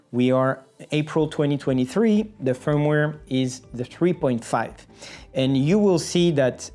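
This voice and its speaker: Product explainer presenter, medium pitch, methodical step-by-step delivery, music underneath. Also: a little mellow. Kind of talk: scripted-sounding product explainer.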